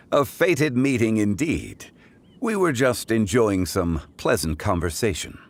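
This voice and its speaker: An actor playing a composed, learned man from the funeral parlor, who speaks in a formal, measured and courteous way. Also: on the low side, expressive, a little hiss or hum. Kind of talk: game voice acting.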